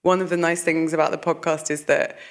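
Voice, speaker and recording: A low-voiced woman talking on stage at a live event, chatty and full of quick asides. She laughs easily as she answers. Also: flat delivery. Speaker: podcast co-host, guest. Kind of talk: casual live panel talk.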